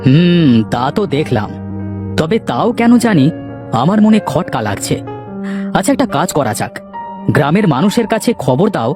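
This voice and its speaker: A man voicing a worried, skeptical elderly village headman in a cartoon, with a deliberate, ponderous manner. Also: a little high, very animated, music in the background. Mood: pondering, decisive. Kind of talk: Bengali cartoon voice acting.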